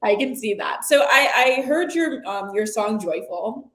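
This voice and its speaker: A woman's voice: on the high side, lively intonation, a little slow, echoey room, low-quality recording. A woman hosting a talk show, asking long friendly questions in a casual interview. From the Washington DC area.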